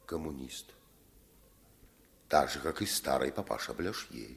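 Slow, stern old resistance leader. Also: male voice, very deep voice, animated, vocal fry, full character voice. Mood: grave, guarded. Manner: deliberate, weighty, drawn-out phrasing. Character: old French villager, stern, decisive underground communist leader. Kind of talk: 1950s radio play acting.

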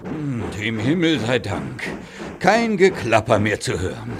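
A man's voice: fairly low pitch, huge pitch swings, unhurried, very noisy, full character voice. An actor playing a big, proud but aging male express engine who frets and groans to himself in a children's audio drama. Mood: worried. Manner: anxious, groaning and muttering to himself.

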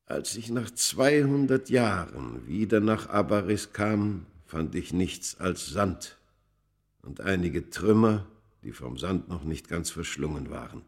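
A male actor playing a tormented, world-weary immortal from ancient Egypt in a radio play, speaking with grave, deliberate, literary delivery. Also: low voice, lively intonation, full character voice. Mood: weary, resigned. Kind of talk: dramatic first-person radio play narration.

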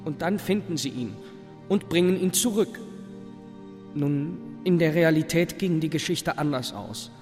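A man's voice: on the high side, measured pace, a little mellow, lightly acted. A man giving a reflective, scripted monologue in a radio play. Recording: long reverb, faint music.

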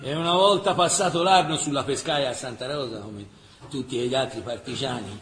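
An actor playing a heartfelt Tuscan storyteller recounting war memories in colloquial, emphatic radio theatre style. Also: male voice, mid-range voice, moderate pace, a bit bright, a little hiss or hum, natural voice. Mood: animated, colloquial. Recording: roomy stage-like echo.

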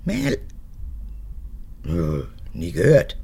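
Husky, creaking old tyrant mother. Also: wildly swooping pitch, slow, mellow, heavily acted. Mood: defiant, peevish. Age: older. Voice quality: husky, gravelly, low. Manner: theatrical, swinging between whispers and outbursts. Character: old unrepentant female camp guard, capricious, manipulative mother. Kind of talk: radio drama acting.

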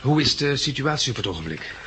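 Husky, pragmatic young male survivor. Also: medium pitch, very animated, fast talker, sharp tone, full character voice. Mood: matter-of-fact. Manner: earnest, reasoning, matter-of-fact. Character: pragmatic 29-year-old male survivor, firm and reasoning. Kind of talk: 1960s Dutch radio drama acting.

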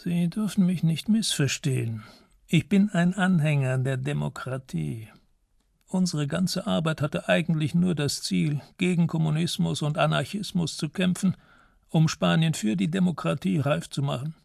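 A man playing a courtly, secretive old ex-intelligence officer in a radio crime drama, gravelly and formal, choosing his words with dignity. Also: a little high, moderate pace, darkish tone, no room sound, clean studio sound. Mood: self-justifying. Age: older.

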